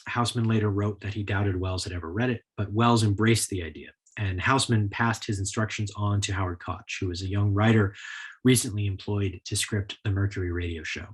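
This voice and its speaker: A man giving a prepared history lecture in a measured, explanatory style. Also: lowish voice, fairly even intonation, husky, poor audio. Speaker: lecturer, author.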